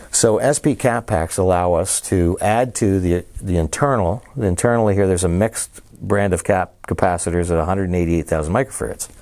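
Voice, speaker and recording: Deep mellow guy excitedly walking you through a preamp's knobs and switches, casual demo talk. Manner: enthusiastic, explains features while handling gear. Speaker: audio dealer presenting a product.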